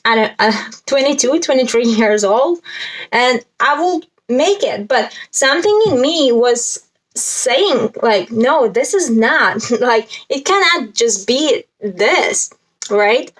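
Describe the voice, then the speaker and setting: Slow, higher-pitched Latvian-accented young woman. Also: animated, low-quality recording. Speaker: spiritual self-help vlogger. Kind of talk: casual talk to camera, explaining a list.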